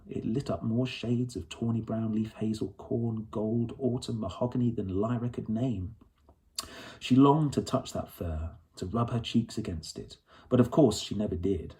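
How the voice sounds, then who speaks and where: Mellow-voiced man narrating a story. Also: fairly low pitch. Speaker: audiobook reader.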